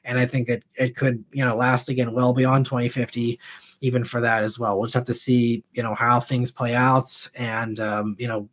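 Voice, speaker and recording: A man's voice: mid-range voice, little pitch movement, moderate pace, fairly bright tone. A man in his thirties hosting a live stream, answering viewer questions in a rambling, casual way full of fillers. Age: 30s. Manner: rambling, heavy on fillers like "you know" and "I mean". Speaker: host.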